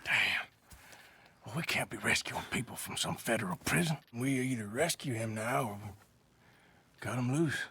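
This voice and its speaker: An actor playing a gruff, sardonic American outlaw gunslinger in a Western video game cutscene. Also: male voice, medium pitch, moderate pace, pauses often, bright, forceful tone, full character voice. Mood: decisive. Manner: dry, sardonic.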